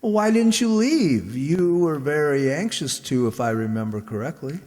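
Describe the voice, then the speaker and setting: A man's voice: on the high side, lively intonation, moderate pace, darkish tone, close to an everyday voice. A man playing a wry, weary fugitive in a radio play, impatient and sardonic. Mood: puzzled, sardonic. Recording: echoey hall, long reverb.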